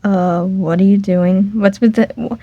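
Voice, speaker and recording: Woman doing a deadpan toy girl, flat and dry, dull lo-fi mic, no room sound. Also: mid-range voice, measured pace, dry recording. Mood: puzzled. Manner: flat, dry, matter-of-fact. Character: deadpan unimpressed toy-like character, she.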